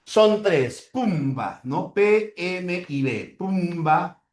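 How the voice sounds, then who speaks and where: A man's voice: high-pitched, lively intonation, very slow. A man with a Peruvian accent lecturing a class, repeating key terms and checking in with short tag questions. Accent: Peruvian Spanish. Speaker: teacher. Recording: roomy echo.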